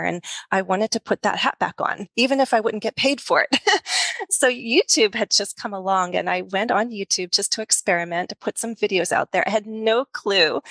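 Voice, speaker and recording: An American woman in her fifties telling her own story as an interview guest, with deliberate, teacherly phrasing. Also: medium pitch, fairly bright tone, dry recording. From New England, United States. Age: 50s. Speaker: podcast guest, online English teacher. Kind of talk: conversational personal storytelling.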